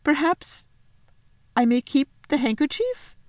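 Woman voicing a tearful, high-pitched timid faun. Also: expressive, measured pace, dry recording, natural voice. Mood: anxious, apologetic. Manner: fretful, pleading, dramatic. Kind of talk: children's storybook read aloud.

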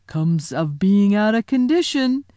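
A woman voicing a huge, good-natured male giant who talks slowly in a polite rustic dialect. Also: medium pitch, very animated, dark tone, no room sound. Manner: slow, rustic dialect, deferential.

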